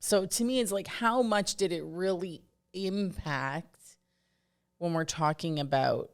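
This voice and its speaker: Loud, outgoing woman podcast co-host. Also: on the low side, unhurried. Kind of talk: casual chat.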